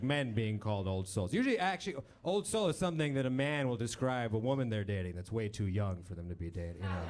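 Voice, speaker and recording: A man in his thirties, born in Romania and raised in Texas, doing a dry, self-deprecating stand-up set on a club stage with a handheld mic. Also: background noise. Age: 30s. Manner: dry, self-deprecating, mimics people in high voices. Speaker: stand-up comedian. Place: comedy club stage with live audience.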